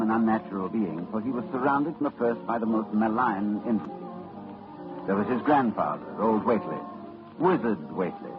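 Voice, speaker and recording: A man's voice: mid-range voice, natural voice. An actor narrating as a learned, grave man in a 1940s-style radio horror drama, telling the tale dramatically. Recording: dull old radio broadcast with music.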